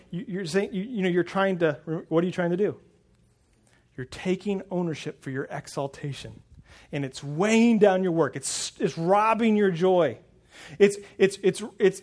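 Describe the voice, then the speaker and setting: A man's voice: high-pitched, darkish tone. A man preaching a sermon on a lapel mic to a congregation. He is earnest and often repeats words as he starts a thought. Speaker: pastor. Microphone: wireless lapel mic pack.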